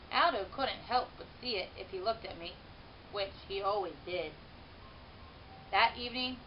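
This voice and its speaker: A woman reads a children's story aloud in an expressive, animated way, stretching words for emphasis. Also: mid-range voice. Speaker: teacher reading a children's book aloud. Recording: muffled, hissy home-style recording.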